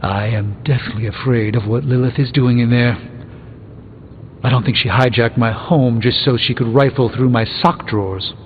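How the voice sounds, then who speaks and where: An actor playing an erudite, pompous sorcerer with a dry, articulate wit in a video game. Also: male voice, mid-range voice, fairly even intonation, moderate pace, slight background noise, natural voice. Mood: measured, wry. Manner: pompous, dryly witty, articulate. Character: erudite, haughty, wry male sorcerer. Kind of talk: video game character dialogue. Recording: muffled phone-like game audio under music.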